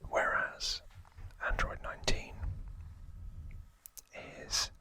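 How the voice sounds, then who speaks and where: A man whispering softly in a breathy, unhurried ASMR style as he explains trivia informally, pausing between short phrases. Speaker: ASMR video host.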